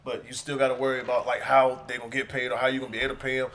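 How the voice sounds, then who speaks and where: A man co-hosting a podcast in relaxed, rambling casual chat. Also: medium pitch, very fast, slight background noise, poor audio. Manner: relaxed, rambling, many fillers. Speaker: podcast co-host.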